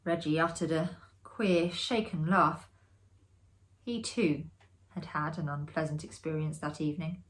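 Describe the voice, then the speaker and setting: A woman giving a dramatic, expressive reading of a classic ghost story as a storyteller. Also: sits low, unhurried, low-quality recording. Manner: expressive, acting out the dialogue. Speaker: storyteller, amateur theatre performer.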